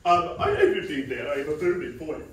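A woman's voice: low voice, wildly swooping pitch, brisk, slight background noise. A woman playing a grand, self-adoring celebrity advice hostess. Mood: grandiose. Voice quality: grand, self-satisfied showbiz patter with giggles. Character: boastful self-important celebrity love-advice hostess, middle-aged woman. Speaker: comedy character performer. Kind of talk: improvised stage comedy. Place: small theater stage. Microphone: far room camera. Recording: echoey theater from far off.

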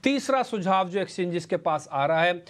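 A man's voice: high-pitched, animated, moderate pace. A middle-aged man explaining market rules on a TV news show, emphatic and full of rhetorical questions, dropping English terms into his Hindi. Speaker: market analyst on a business news channel. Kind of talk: explaining to an anchor on live TV. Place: TV news studio.

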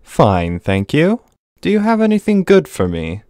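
A man's voice: fairly high pitch, huge pitch swings, measured pace, darkish tone, no room sound, clean studio sound. A man playing a curt, aloof rich male customer in a visual novel, terse and cool.

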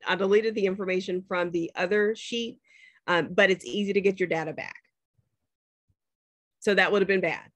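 A woman's voice: medium pitch, moderate pace, long pauses, no echo. A woman professor walking students through a spreadsheet on a shared screen, chatty and checking in with them often. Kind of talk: screen-share class demo.

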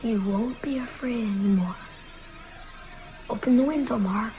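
Woman as an eerie, high-voiced undead boy. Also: noisy background, natural voice. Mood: eerie coaxing. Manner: slow, insistent, pleading. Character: undead vampire boy, coaxing, eerie, commanding. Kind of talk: radio drama acting.

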